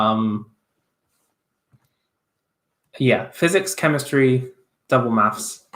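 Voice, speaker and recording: A man's voice: mid-range voice, sharp tone. A young man chatting casually as he answers viewer questions on a livestream, self-deprecating, with many fillers and restarts. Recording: roomy echo.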